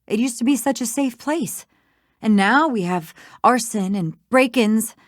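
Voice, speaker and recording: A woman's voice: a little high, expressive, a little slow, no echo, studio quality. A woman playing a confident, teasing small-town lawyer in a video game, with a wry, self-assured manner. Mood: exasperated. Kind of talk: scripted video game dialogue.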